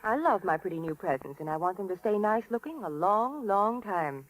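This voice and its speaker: A woman's voice: medium pitch, animated, normal speed, poor audio. A woman doing scripted 1930s radio commercial banter in a perky, emphatic manner. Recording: vintage 1930s radio broadcast recording.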